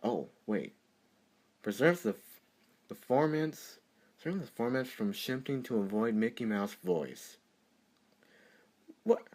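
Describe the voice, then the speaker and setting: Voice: mid-range voice, animated, unhurried, a little mellow. A tutorial presenter talking off the cuff over a screen recording, rambling and easily amused. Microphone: computer microphone during screen recording.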